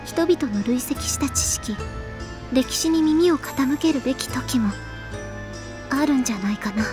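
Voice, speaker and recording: A young woman playing an earnest, gently cautioning teenage schoolgirl in a Japanese visual novel. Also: high voice, animated, a little fast, music in the background. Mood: grave warning.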